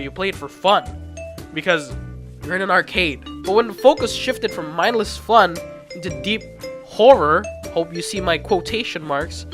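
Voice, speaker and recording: A video essayist narrating in a casual, informal and self-deprecating way, as if reading a loose script. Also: medium pitch. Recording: music playing behind the voice. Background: background music.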